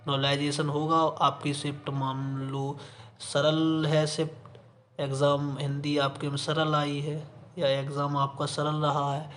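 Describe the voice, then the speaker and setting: Male exam coach, raised pitch, explanatory delivery with repeated phrases and English terms mixed in. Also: completely flat delivery, a little slow, fairly bright tone, slight background noise. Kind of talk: explanatory lesson, reading and explaining an official notice.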